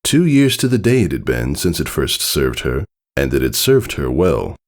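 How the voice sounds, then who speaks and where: A man reading a scripted story aloud as an expressive narrator. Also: rumbling low, moderate pace. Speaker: fan fiction reader.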